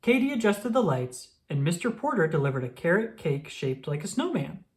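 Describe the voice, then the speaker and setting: A woman reading a children's picture book aloud in an expressive storytelling manner. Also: on the low side, moderate pace. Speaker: storybook reader.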